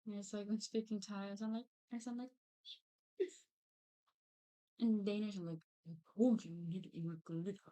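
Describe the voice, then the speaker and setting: A woman's voice: medium pitch, fairly even intonation, moderate pace, long pauses, mellow. A woman chatting casually with her partner during his stream, giggly and teasing. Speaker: streamer's girlfriend. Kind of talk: casual off-camera chat.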